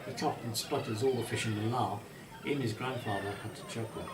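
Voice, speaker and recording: A man narrates a story for children at a medium pitch. He sounds set back from the microphone in a roomy space, with some background noise. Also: fairly even intonation, fast talker. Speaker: author reading own story. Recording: distant mic, roomy echo, faint hiss.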